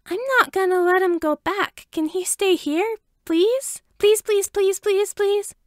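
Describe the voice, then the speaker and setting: An excitable five-year-old boy from a story , acted out by a woman reader. The voice is pitched well up and pushes with eager, insistent pleading. It sounds dry and close. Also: takes it slowly, no room sound. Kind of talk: acted audiobook dialogue.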